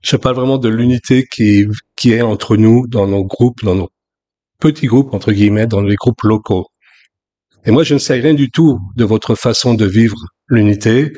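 A man from France in his sixties, preaching a sermon in a relaxed, conversational way with frequent restarts. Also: medium pitch, normal speed. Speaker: pastor.

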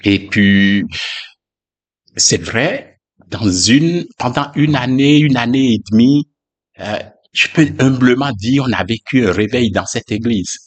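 A man, a pastor, sharing a personal testimony in a reflective, hesitant way with frequent pauses and fillers. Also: medium pitch, expressive, husky.

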